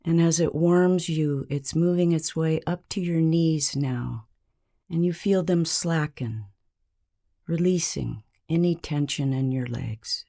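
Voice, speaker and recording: A woman narrating a scripted guided meditation in a calm, measured, soothing manner with long pauses. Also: deep voice, vocal fry.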